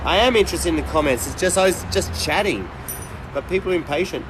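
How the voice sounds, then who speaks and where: Male livestreamer, middle-aged, higher voice, blunt and rambling casual delivery, clear traffic noise behind. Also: animated, quick pace. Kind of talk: casual live chat with viewers.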